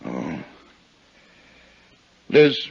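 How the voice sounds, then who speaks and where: A middle-aged American murderer in a 1950s radio drama, lovesick and bitter. The voice is deep and rough, halting yet earnest. The vintage recording sounds muffled. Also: male voice, wildly swooping pitch, darkish tone, dry recording, full character voice. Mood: resigned.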